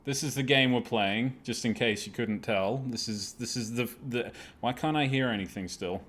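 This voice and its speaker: A man's voice: mid-range voice, normal speed, fairly bright tone, slight background noise. A man hosting a live gaming stream, chatting casually in a self-deprecating, apologetic way with plenty of swearing.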